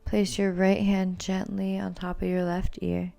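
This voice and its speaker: A woman yoga instructor giving calm, soothing, gentle cues as she guides a movement and meditation practice. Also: mid-range voice, measured pace, vocal fry.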